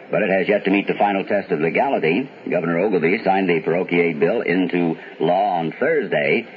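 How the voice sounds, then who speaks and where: A man playing a 1970s radio newscaster, reading bulletins in a measured, formal way with some hesitation over his copy. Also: sits low, close to an everyday voice. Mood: measured, matter-of-fact. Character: steady male radio newsman reading bulletins. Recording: dull, noisy vintage 1970s radio broadcast.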